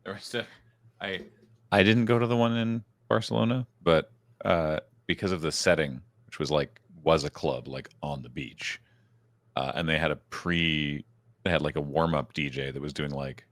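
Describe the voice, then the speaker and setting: Very deep-voiced man, casual podcast chat. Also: fairly even intonation, normal speed, fairly bright tone, no echo. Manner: rambling, self-interrupting storyteller. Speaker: podcast co-host.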